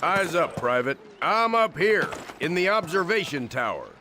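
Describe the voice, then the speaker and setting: A seasoned American army sergeant gives no-nonsense training orders in a World War II game. His slightly high male voice swings widely in pitch. Gunshots and clear noise sit behind the commands. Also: sing-song, moderate pace. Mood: firm, instructive. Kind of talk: military game tutorial dialogue. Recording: noisy, gunshots and clicks behind.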